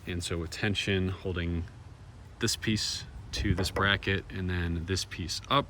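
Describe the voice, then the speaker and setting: Casting: American male DIY vlogger, lowish voice, laid-back and plain-spoken, recorded on the go with clear vehicle and ambient noise. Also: steady tone, unhurried, pushed and bright. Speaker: vlogger showing DIY van upgrades. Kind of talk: casual DIY walkthrough to camera.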